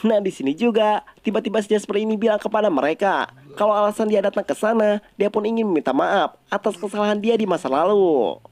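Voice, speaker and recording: A man's voice: high-pitched, quick pace, darkish tone. A man with an Indonesian accent narrating a story recap in a casual, colloquial storytelling style.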